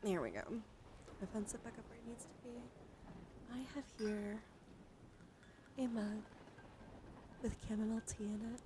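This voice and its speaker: Female ASMR streamer, mid-range voice, gentle and casual delivery that can dip toward whispering, noticeably noisy ambience. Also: medium pitch, unhurried, pauses often. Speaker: live streamer. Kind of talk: casual ASMR livestream chat.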